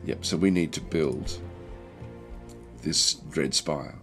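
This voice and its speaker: A man with a croaky, recovering voice giving casual, halting livestream commentary as he plays a strategy game and chats with viewers. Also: very deep voice, a bit bright, soft background music. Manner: halting, thinking aloud. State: croaky throat, recovering from feeling unwell. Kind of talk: casual game commentary, reading and answering chat.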